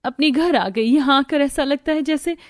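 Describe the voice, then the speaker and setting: A woman's voice: high voice, moderate pace, no echo, clean studio sound, close to an everyday voice. A woman voicing a meek, hurt young daughter-in-law in a scripted cartoon, plaintive and submissive. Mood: wistful.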